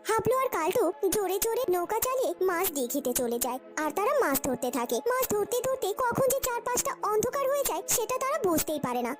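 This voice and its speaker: A woman's voice: extremely high pitch, sing-song, fast talker, few pauses, over music. A woman narrator telling a cartoon story in a dramatic, scripted storytelling style.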